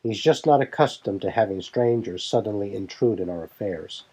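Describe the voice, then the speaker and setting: A man's voice: fairly low pitch, moderate pace, darkish tone, breathy edge. A man voicing a composed young leader of a warrior band who explains things formally in a fantasy audio drama. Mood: grave, explanatory. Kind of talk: fan audio drama dialogue.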